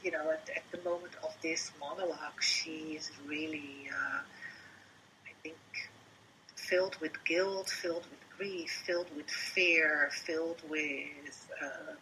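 A woman with a Dutch accent talks slowly and thoughtfully, pausing often and sometimes falling to a near whisper. Her voice is bright and a little sharp, with a slightly low pitch. A faint hiss of noise is heard behind her. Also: steady tone, poor audio. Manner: halting and reflective, drops to a whisper at times. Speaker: performance artist guest. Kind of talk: casual interview chat.

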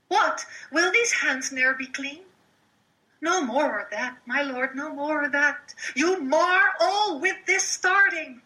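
A woman with a Dutch accent voicing a guilt-ridden, unhinged sleepwalking noblewoman in a Shakespeare monologue, moving between loud cries and hushed whispers. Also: high-pitched, voice pushed up, full character voice, low-quality recording.

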